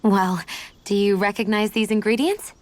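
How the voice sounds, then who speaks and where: An actress voices a young woman adventurer in a fantasy game. She is composed and quietly affectionate, with a gentle teasing streak. The voice is medium in pitch and very dry. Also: moderate pace, no room sound, close to an everyday voice. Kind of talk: video game cutscene acting.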